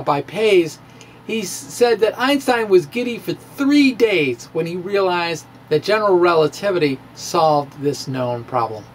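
Theatrical, slow-paced man lecturing. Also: high voice, slight background noise. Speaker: science video blogger. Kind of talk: dramatic reading of a physics lecture to camera. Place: indoor room with bookshelves. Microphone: handheld-style microphone on a stand, close in front.

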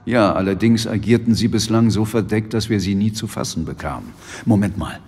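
Ein Schauspieler spielt in einem Hörspiel einen nüchternen, wachsamen britischen Polizeiinspektor mit sachlicher, skeptischer Art. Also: male voice, on the low side, a little fast, a little mellow, slightly rough, in a large hall. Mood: wary, sober. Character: sober, wary male British police inspector. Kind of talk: naturalistic audio drama acting. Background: music.

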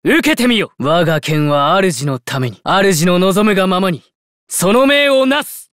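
An actor playing a young god of justice in a game, a loyal, formal and condescending warrior. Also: male voice, sits high, lively intonation, a little slow, fairly bright tone, smooth, no room sound, studio quality. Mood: fierce, resolute. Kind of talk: Japanese video game battle lines.

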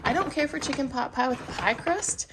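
A woman's voice: high-pitched, expressive, brisk, background noise. A woman chatting casually as she unpacks groceries in her home kitchen, filmed with a handheld camera. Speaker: cooking vlogger. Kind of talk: casual grocery haul chat. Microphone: handheld camera pointed at the counter, speaker off screen.

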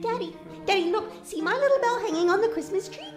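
A woman's voice: very high voice, lively intonation, quick pace, music in the background. A woman voicing Zuzu, a sweet and eager little girl in a radio play. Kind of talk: community radio play acting.

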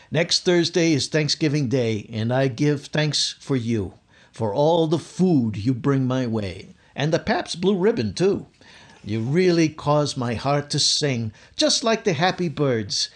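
Slow, blustery radio sitcom dad played by a man. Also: fairly high pitch, expressive. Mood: sentimental. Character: bumbling, sentimental working-class husband and father. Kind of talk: 1950s radio sitcom acting.

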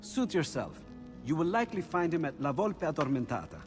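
Middle-aged Renaissance inventor, animated intonation, self-deprecating and polite, video game drama. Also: male voice, on the high side, a little fast, soft background music. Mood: resigned. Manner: courteous, expressive, self-deprecating. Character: eccentric aging Renaissance inventor and painter, excitable scholar.

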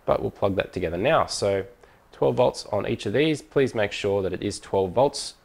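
Low-pitched man giving a tutorial. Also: animated, moderate pace, vocal fry, darkish tone. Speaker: product tutorial presenter. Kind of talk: hands-on demonstration, informal step-by-step explanation.

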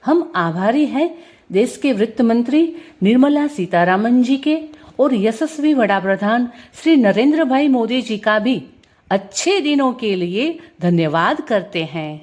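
A woman's voice: fairly high pitch, expressive, moderate pace, a little mellow. A woman giving a formal, prepared customer testimonial.

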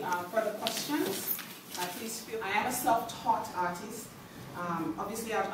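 A woman, giving a hesitant, soft-spoken artist's talk at a lectern in a gallery, with many ums and uhs. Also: mid-range voice, background noise. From Jamaica. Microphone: podium mic recorded by a far camera. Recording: echoey gallery from far off.

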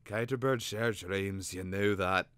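A man's voice: low-pitched, unhurried, a bit bright, clean tone, no room sound. A man voicing a haughty, irascible talking bird in a storybook, indignant and grandiloquent in manner. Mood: irritable, grand.

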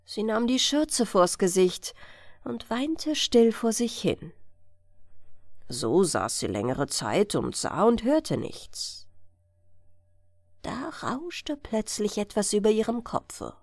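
A woman reading a fairy tale as a bedtime audiobook, in an expressive, measured storytelling manner. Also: medium pitch, no room sound, clean studio sound. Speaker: audiobook narrator.

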